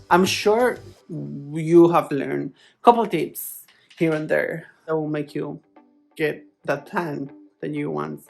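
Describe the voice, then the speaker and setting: A woman's voice: sits low, gravelly. A woman with a foreign accent in English, chatting casually and a little hesitantly in a playful, informal vlog style. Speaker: plant vlogger. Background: music.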